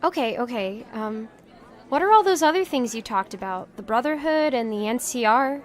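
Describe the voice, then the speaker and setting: A young woman new to the world, played by an actress in an audio drama. She is on the higher side in pitch, polite and inquisitive. Her lines come with naive hesitancy. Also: animated, normal speed, a faint crowd, close to an everyday voice. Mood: amazed, curious. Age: young adult.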